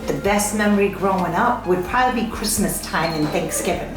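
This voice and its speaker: A woman's voice: medium pitch, fairly quick. Woman in her forties, roomy echoing sound. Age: 40s. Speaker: interviewee, youngest sister. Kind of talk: casual interview recollection. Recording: roomy echo with music underneath. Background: music.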